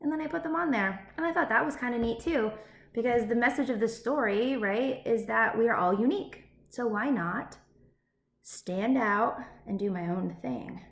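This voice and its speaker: A woman's voice: on the high side, normal speed, vocal fry. A woman speaking as an elementary school teacher to young pupils, encouraging and child-directed, checking in with little questions as she reads aloud and chats. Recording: roomy echo, faint background noise.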